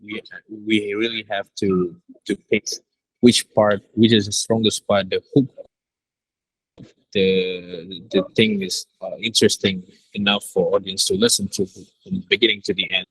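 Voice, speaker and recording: Husky-voiced man, halting and low. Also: little pitch movement, fairly bright tone, no room sound, poor audio. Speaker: director of an audio drama. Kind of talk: reflective panel interview answer.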